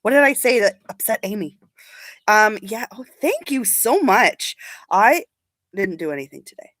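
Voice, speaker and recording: A woman's voice: medium pitch, big pitch swings, normal speed, a bit bright, no room sound. A young woman chatting casually on a livestream, sniffly from allergies and rambling as she answers comments. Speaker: beauty vlogger.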